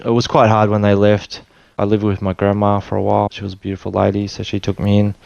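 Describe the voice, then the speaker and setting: A middle-aged Australian man telling his life story in a relaxed, rambling way with many fillers and restarts. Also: on the low side, fast talker, vocal fry, smooth, no room sound, lo-fi sound. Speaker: interview guest. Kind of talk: casual storytelling interview.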